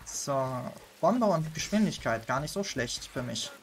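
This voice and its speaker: A man's voice: medium pitch, sing-song, a little slow, gravelly, background noise. A German man hosting a gaming video, chatting casually and laughing often while he plays. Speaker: gaming channel host. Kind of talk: casual gameplay chat.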